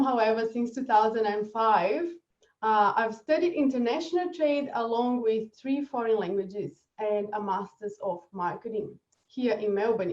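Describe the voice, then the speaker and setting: A woman from Brazil with a Brazilian accent, giving an energetic webinar presentation and laughing often. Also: fairly high pitch, distant mic. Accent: Brazilian Portuguese. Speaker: marketing presenter. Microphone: video call. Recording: echoey dull video call audio.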